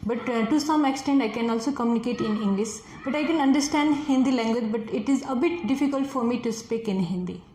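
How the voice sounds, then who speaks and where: A young woman with a Nepali accent, a native Nepali speaker, works through exam answers with frequent fillers and restarts. Her voice sits on the high side. The room is echoey, with faint noise in the background. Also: fast talker, hardly any pauses, dark tone. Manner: hesitant, many fillers and restarts. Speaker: test candidate, forest officer.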